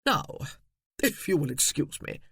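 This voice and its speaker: A man's voice: a little high, sing-song, measured pace, creaky, fairly bright tone, husky, dry recording, studio quality, exaggerated voice. A man putting on a pompous, blustering cousin. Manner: pompous, blustering, then fawning. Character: pompous blustering male cousin, middle-aged.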